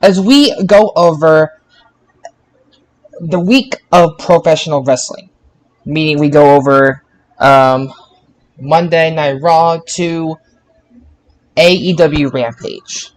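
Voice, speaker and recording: A man hosting a podcast roundup, halting and often restarting his phrases. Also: on the high side, low-quality recording. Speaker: podcast host.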